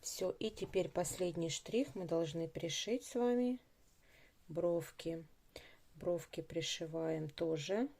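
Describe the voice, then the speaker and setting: A woman narrating a craft tutorial step by step, in an unhurried, instructive way, repeating phrases as she goes. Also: lowish voice, creaky, warm tone.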